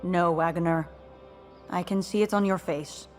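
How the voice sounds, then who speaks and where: A woman's voice: on the low side, fairly even intonation, normal speed, faint music behind, close to an everyday voice. An actress playing a level-headed, resolute female rebel leader in dramatic video game dialogue, matter-of-fact and commanding. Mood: measured, determined.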